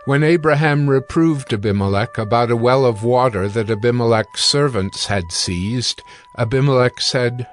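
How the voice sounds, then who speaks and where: A man narrating scripture from a script in a formal, measured way. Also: mid-range voice. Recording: background music under the voice.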